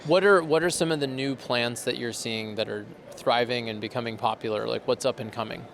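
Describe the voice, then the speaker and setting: A man's voice: medium pitch, a little fast, creaky, fairly bright tone, slight background noise. Man, podcast host, upbeat and chatty, asks easy follow-ups, working a trade show floor. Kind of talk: casual interview.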